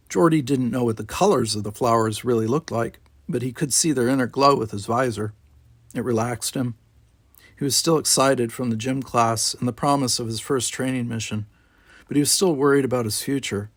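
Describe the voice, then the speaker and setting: A man narrates a novel as a storybook reader. His voice sits at a middling pitch with a husky, unpolished edge, and the recording is very dry. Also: no echo. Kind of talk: scripted narration of a novel read aloud.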